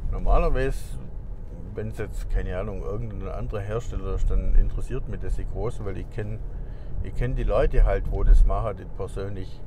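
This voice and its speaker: A middle-aged man vlogging as he drives, chatting in a relaxed, rambling way with restarts through a lapel mic. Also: fairly low pitch, normal speed. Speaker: vlogger reviewing vape gear. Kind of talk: casual chat while driving. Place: inside a moving car. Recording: car road noise behind.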